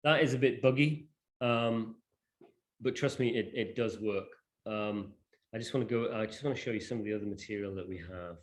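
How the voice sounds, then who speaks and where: Low-pitched man giving an informal demo. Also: moderate pace. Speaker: presenter demonstrating a digital library. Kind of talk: informal software demonstration talk.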